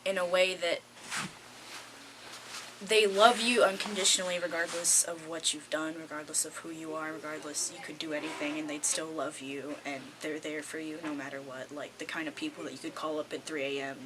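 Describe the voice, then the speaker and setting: A woman's voice: deep voice, level tone, a little fast. A teenage female theater student giving reflective, casual answers in an interview. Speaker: high school theater student. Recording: faint background noise.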